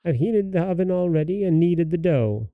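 A man's voice: sits high, expressive, moderate pace, dry recording, narrow, tinny audio, voice pushed up. A man voicing a wicked old witch in a storybook tale, with a bossy, jeering manner. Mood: scolding, scheming. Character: wicked old witch, falsely sweet, scolding and menacing.

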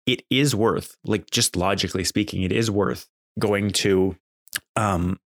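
A man's voice: fairly low pitch, fairly even intonation, a little slow, no echo, clean studio sound. A man talking casually off the cuff as a podcast host, in a conversational, exasperated, complaining way. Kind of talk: casual unscripted monologue.

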